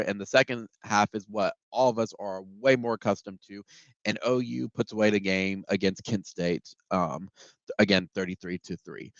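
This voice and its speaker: A man with a low voice and a stuffy, congested sound from a head cold talks through a football game recap in a relaxed, rambling way, full of ums and false starts. The recording is dull and dry, with little high end and no room sound. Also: dry recording. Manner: conversational, many ums and restarts. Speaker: sports podcast host. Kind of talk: casual solo game recap.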